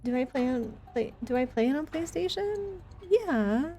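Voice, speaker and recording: A woman's voice: high voice, lively intonation, normal speed, warm tone, a little hiss or hum. A woman chatting casually with her viewers while livestreaming a video game, in a chatty, giggly manner.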